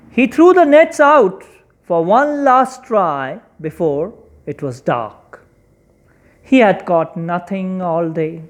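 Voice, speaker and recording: An expressive storyteller narrates a children's folktale at a slow, deliberate pace. The pitch swings widely, giving dramatic emphasis to key words.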